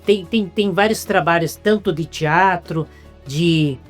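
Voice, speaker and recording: Low-voiced Brazilian woman host. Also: takes it slowly, soft background music. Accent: Brazilian Portuguese. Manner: talkative, lists ideas at length. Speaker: interview host. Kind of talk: casual interview chat.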